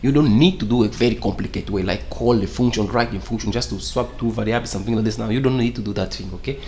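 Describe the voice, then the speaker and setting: A man's voice: lowish voice, fast talker, darkish tone, slight background noise. A young man whose first language is Portuguese, teaching programming in English in a casual, rambling way and often checking in with "okay?". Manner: rambling, repeats "okay?" often, non-native grammar. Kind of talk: casual screen-share tutorial.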